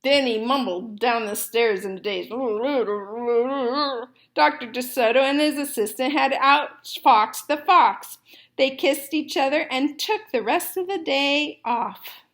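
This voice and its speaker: A woman storyteller and teacher reading aloud to children expressively, with deliberate phrasing. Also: high voice, fairly bright tone.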